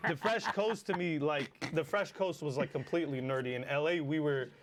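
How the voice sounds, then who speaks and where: A man in his forties with a Lebanese accent tells stories in loose, casual talk, full of swearing and fillers. His voice sits a little high for a man. His delivery stays animated and lively. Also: normal speed, background noise. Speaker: battle rapper guest. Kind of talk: casual chat.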